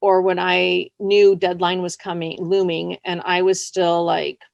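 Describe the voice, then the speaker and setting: Woman writer chatting in an interview, kinda low voice w lots of fry, rambly and casual, close dry sound. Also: flat delivery, a little slow, dry recording, highs cut off. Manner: informal and rambling, often says "like" and "you know". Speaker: interviewed scriptwriter.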